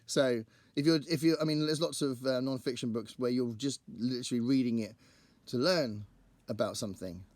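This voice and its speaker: Male book vlogger, croaky and strained, medium pitch, dry close sound, chatty and digressive. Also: moderate pace, hardly any pauses, a little mellow, dry recording. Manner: chatty, rambling, thinks aloud. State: croaky voice after a singing gig. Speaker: book channel host. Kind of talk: casual to-camera book chat.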